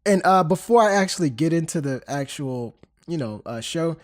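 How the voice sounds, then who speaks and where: A man's voice: on the high side, animated, moderate pace. A man in his thirties hosting a podcast, stuffy from a cold, chatting loosely with many fillers and restarts. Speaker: podcast host. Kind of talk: casual podcast chat.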